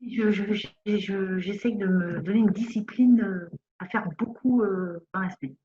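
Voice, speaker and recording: A mid-pitched woman from northern France talks about her art course with eager enthusiasm, often repeating herself. The sound is dull and lo-fi, as on a video call. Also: fairly even intonation, normal speed, mellow. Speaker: student in an online art course. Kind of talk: casual group video call.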